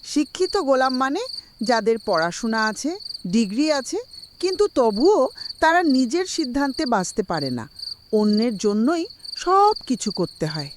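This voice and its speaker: A woman's voice: on the high side, big pitch swings, unhurried. A woman playing a kindly, wise old village grandmother in a cartoon, explaining patiently over background noise. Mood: patient, instructive.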